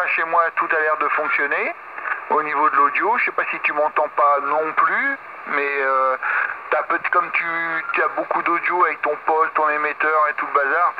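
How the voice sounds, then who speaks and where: A French man at his home radio station, chatting easily over the air with technical talk and light laughs. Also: fairly high pitch. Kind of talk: casual radio contact chat. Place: home radio shack with amp and 3D printer running. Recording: muffled radio audio with clear hiss.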